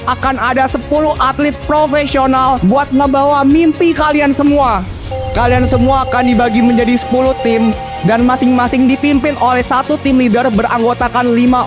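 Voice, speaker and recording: A man hosting a game show, energetic and slangy, calling out contestants loudly. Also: very high voice. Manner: hyped, slangy, calls out names loudly. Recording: music under the voice. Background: music.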